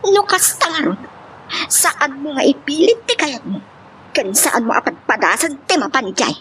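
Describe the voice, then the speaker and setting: A woman's voice: high-pitched, expressive, moderate pace, a bit bright. A woman with an Ilocano accent playing an emotional mother and wife in a family love drama. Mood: pleading, agitated. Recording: clear background noise.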